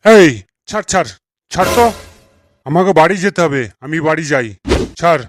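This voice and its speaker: A man's voice: fairly high pitch, lively intonation, normal speed, background noise, natural voice. A man with a West Bengal accent playing an irritable middle-aged village uncle who grumbles and scolds sarcastically in a comedy cartoon. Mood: irritated.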